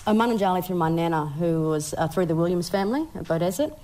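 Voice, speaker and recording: Deep-toned Australian woman, articulate panelist. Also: animated, fast, dark tone. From Brisbane, Australia. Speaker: panelist, researcher.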